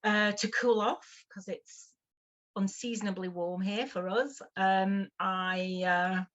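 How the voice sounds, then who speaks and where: A woman's voice: mid-range voice, steady tone, slow, fairly bright tone, highs cut off. A woman in her fifties with a Northern English accent, a guest chatting casually in an interview, with lots of fillers and restarts. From northwest England. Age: 50s.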